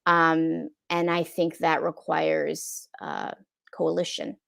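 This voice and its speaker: A woman's voice: low-pitched, level tone, slow pace, vocal fry, fairly bright tone, no echo, clean studio sound. A middle-aged woman in a casual, reflective panel discussion over a video call, often checking in with "right?". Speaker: film impact producer on a panel. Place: room at home, video call. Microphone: wired earphones on a video call.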